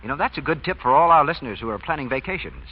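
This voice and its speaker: A man's voice: a little high, expressive, racing, breathy edge. A man with an American accent announcing and reading scripted advertising in 1940s radio style. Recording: dull vintage radio recording.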